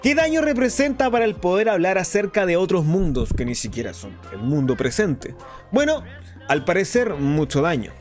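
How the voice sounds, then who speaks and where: An actor playing a flamboyant, sardonic underground radio host in a radio drama, talking in a grandiloquent, ironic, defiant way. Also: male voice, high-pitched, sing-song, moderate pace, darkish tone, soft background music. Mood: indignant, mocking. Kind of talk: theatrical radio drama monologue.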